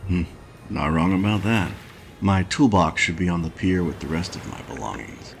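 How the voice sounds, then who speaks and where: An actor playing a weary, dry-witted mechanical engineer who mutters wry, hesitant asides in a noir video game. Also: male voice, low voice, lively intonation, moderate pace, gravelly, slight background noise. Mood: musing, subdued. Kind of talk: noir point-and-click game acting. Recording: music behind the voice.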